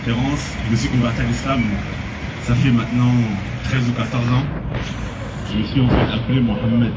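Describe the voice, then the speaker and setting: A man's voice: medium pitch, level tone, normal speed, distant mic, very noisy. A man from France giving an earnest outdoor sermon, mixing in Arabic phrases. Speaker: preacher. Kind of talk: street preaching to a crowd.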